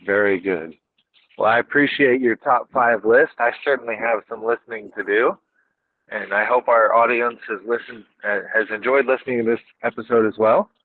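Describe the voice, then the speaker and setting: A man's voice: mid-range voice, fairly even intonation, moderate pace, no room sound. Affirming male host, slightly dull dry audio. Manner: affirming, brief agreeable responses. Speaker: podcast host. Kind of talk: casual conversational hosting.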